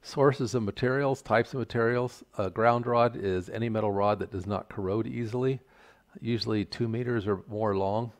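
Older guy explaining stuff step by step, lowish gravelly voice. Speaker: instructor. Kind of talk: explanatory tutorial narration over slides.

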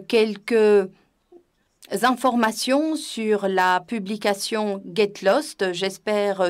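A woman giving simultaneous interpretation of an online conference, pausing often with hesitant fillers as she searches for words. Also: mid-range voice. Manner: hesitant, frequent filler pauses.

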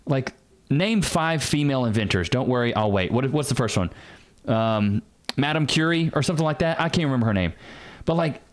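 A man's voice: medium pitch, expressive, a little fast. Man reacting casually with laughs. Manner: short reactions, laughing banter.

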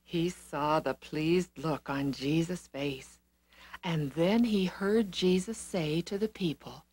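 A woman narrating a children's Bible story in an expressive, deliberate manner. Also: low-pitched, dry recording.

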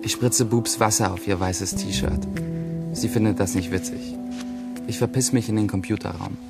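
A man's voice: lowish voice, steady tone, moderate pace, vocal fry. An actor playing a self-righteous, self-important teenage boy loner, narrating and speaking in a crime radio play. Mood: wry. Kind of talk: first-person audio drama narration and dialogue in a crime radio play. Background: music behind the voice.

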